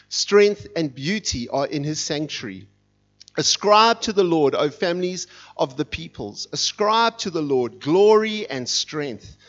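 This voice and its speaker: A middle-aged man preaching as a guest in a church, talking with energy and reading scripture with rising force. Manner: energetic, fired up, reads scripture with rising intensity. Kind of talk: sermon. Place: church sanctuary. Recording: dull, muffled low-fidelity sound.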